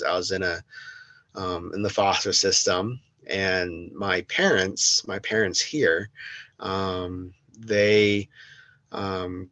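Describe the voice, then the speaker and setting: A young man from the United States, a podcast guest talking slowly and hesitantly, with many pauses and restarts. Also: low voice, a bit bright, no echo, dull audio. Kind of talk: casual interview.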